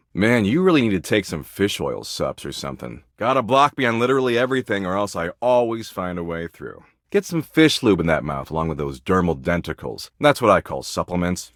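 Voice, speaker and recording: A man voicing an apathetic, morbid slacker in a dating video game, speaking in flippant slang with a snarky, deadpan manner. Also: low voice, dry recording, studio quality, full character voice. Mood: dismissive. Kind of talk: video game character dialogue.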